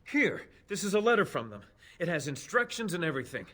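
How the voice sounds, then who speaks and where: A man's voice: on the high side, sing-song, brisk, fairly bright tone. An actor playing a nervous, evasive elf spy in a fantasy game, defensive and pleading as he stammers. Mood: frightened, pleading.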